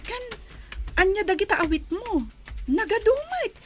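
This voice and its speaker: A woman's voice: very high-pitched, very animated, unhurried, heavily acted. A woman with an Ilocano accent playing a sweet, deferential younger sister in a radio comedy-drama. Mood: sweet, eager.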